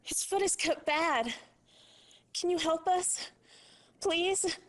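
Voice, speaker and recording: A woman's voice: very high-pitched, slow. An actress playing a distressed woman pleading for help in a video game disaster cutscene. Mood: anxious, pleading. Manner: pleading, pained. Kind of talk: full-motion-video game acting.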